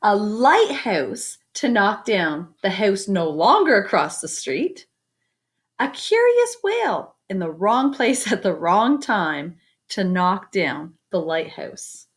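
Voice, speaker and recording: A woman reading a children's picture book aloud expressively, with chatty asides to her young listeners. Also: medium pitch. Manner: expressive, pauses to comment and invite predictions. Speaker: read-aloud storyteller.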